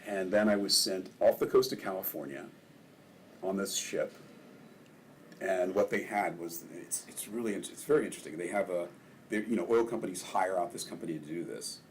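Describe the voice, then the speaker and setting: An older man from Maryland, a ward councilman, telling relaxed stories in a casual interview and chuckling often. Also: deep voice, moderate pace, a little hiss or hum.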